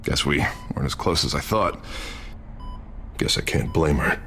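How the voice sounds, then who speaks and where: An actor playing an injured politician in a video game drama, hiding wounded pride behind wry, halting, self-deprecating bravado. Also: male voice, a bass voice, a bit bright, a little hiss or hum. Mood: hurt, dejected.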